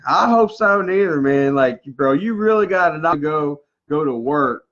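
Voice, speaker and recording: A man's voice: on the high side, lively intonation, unhurried, fairly bright tone. Rambling man in his thirties, laughing often. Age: 30s. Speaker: livestream co-host. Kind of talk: casual chat reading live comments. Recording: lo-fi livestream audio.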